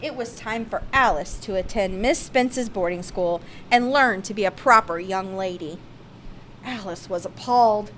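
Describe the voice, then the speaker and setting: A woman reading a children's picture book aloud, with expressive, emphatic phrasing. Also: mid-range voice, normal speed, a little hiss or hum, poor audio. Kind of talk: read-aloud children's storybook narration.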